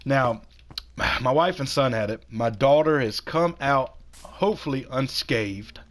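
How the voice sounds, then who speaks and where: A man with a cold, hoarse and a little raspy, chatting casually while he builds in a video game and talks himself through each step. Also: mid-range voice, measured pace. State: hoarse from sinus infection. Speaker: gaming channel host.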